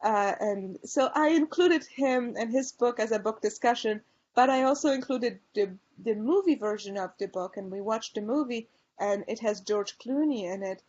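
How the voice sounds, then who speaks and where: A woman with a mixed Polish and Chicago accent presents to a room of peers, recommending books eagerly with many ums and restarts. Her voice sits a little high. The recording sounds dull and lo-fi. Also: moderate pace, darkish tone. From Poland. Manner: enthusiastic book recommendations with frequent fillers. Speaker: librarian presenter. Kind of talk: conference presentation.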